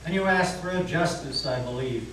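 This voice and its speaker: Man as a smooth, sinister Devil in echoing hall. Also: a little high, moderate pace, fairly bright tone, natural voice. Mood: coolly sardonic. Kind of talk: dramatic stage reading. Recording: very echoey hall, distant, noisy.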